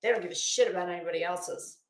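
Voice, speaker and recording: A woman's voice: on the low side, quick pace, echoey room. A woman hosting an opinionated livestream, speaking to viewers in a blunt, scornful, emphatic manner and repeating phrases for weight. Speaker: livestream host.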